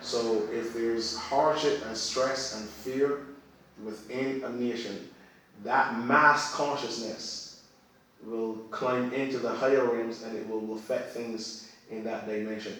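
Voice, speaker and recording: A man's voice: mid-range voice, fairly even intonation, slow pace, fairly bright tone. A man who is a religious teacher, speaking as a guest in a conversation in an emphatic way, repeating phrases for effect. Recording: very echoey room, far from mic.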